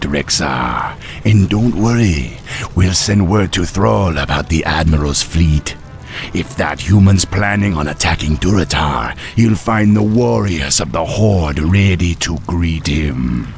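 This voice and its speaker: Deep, slow troll. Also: male voice, lively intonation, vocal fry, slight background noise, heavily acted. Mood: reassuring. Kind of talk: video game cinematic acting.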